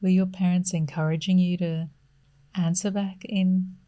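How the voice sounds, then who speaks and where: A woman's voice: lowish voice, level tone, measured pace, warm tone. A woman hosting a podcast interview, asking hesitant, thoughtful questions.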